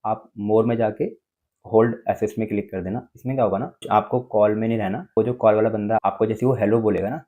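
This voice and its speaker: A man with an Indian accent walks through phone features in a casual, instructional way. His voice sits a little low and has a soft, mellow tone. He talks quickly and keeps checking in with small tag words as he explains each step. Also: steady tone. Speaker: tech tutorial presenter.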